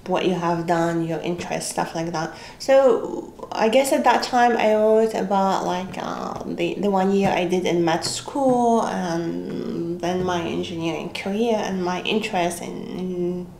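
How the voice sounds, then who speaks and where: Woman from Syria with a creaky voice. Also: fairly low pitch, unhurried, few pauses. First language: Arabic. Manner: restarts often, soft laughs, thoughtful. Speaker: interviewee, computer scientist. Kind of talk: casual interview answers. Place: office with desk, indoors. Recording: roomy office echo, faint hiss.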